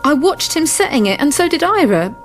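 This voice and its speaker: A woman's voice: high-pitched, sing-song, fairly quick, soft background music. An actress playing an upset, insistent woman recounting events emphatically in a radio drama. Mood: distressed, insistent. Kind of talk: naturalistic radio drama acting.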